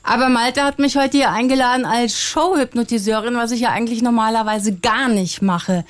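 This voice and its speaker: A woman hypnotherapist as a radio studio guest, explaining in a calm way. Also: a little high, fairly quick.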